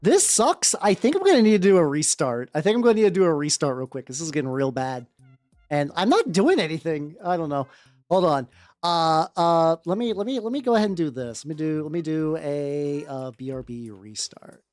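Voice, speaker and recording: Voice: mid-range voice, big pitch swings, brisk, a little mellow, no room sound. A livestream host chatting casually in a rambling, slangy, sweary way that often repeats phrases. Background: background music.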